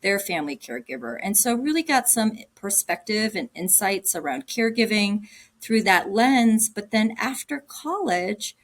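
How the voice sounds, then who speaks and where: A woman's voice: medium pitch, expressive, moderate pace. Middle-aged woman guest from Cambodia, earnest, speaks off the cuff, lots of ums. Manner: warm, earnest, thinking aloud with many ums and restarts. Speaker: guest, agency director. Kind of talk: casual interview answers.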